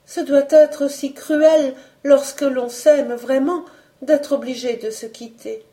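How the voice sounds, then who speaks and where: A woman reader voicing a gentle, sensitive and grave young woman in an audiobook, tender and earnest in manner. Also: fairly high pitch, lively intonation, a little slow, voice pushed up. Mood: tearful.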